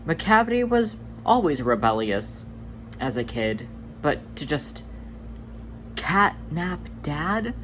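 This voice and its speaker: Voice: mid-range voice, very animated, takes it slowly, a bit bright, a little hiss or hum. A performer voicing a laid-back, protective male cat who teases and reassures his brother, in a storybook fan reading. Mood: concerned, firm.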